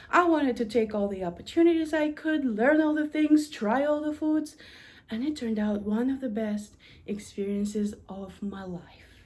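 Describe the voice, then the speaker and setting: A woman with a Russian accent gives a prepared talk about her own journey. Her voice sits a little high for a woman, and she speaks earnestly. When she lists things, she stresses each item in a steady rhythm. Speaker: university administrator. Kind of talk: prepared personal story talk.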